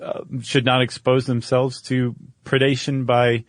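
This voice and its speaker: A man's voice: mid-range voice, flat delivery, a little slow, no echo. A man co-hosting a podcast, telling stories in a casual, wry, joking way. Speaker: podcast co-host. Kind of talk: casual conversational storytelling.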